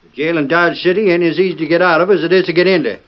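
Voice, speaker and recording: A man playing a high-voiced western sheriff. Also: fairly even intonation, rapid-fire, natural voice. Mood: stern. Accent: American. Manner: folksy, authoritative. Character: firm, plain-spoken Old West sheriff. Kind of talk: old-time radio western acting. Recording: dull, lo-fi vintage radio recording.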